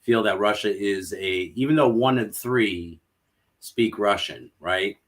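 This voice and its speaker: Lowish-voiced guy in a podcast chat, matter of fact, bit hesitant. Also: slow pace, fairly bright tone. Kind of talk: casual chat.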